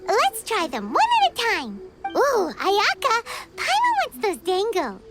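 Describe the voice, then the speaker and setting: A woman's voice: very high voice, huge pitch swings, unhurried, heavily acted. A woman voicing a tiny, chirpy female fairy companion who talks about herself in the third person. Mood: eager. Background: game music.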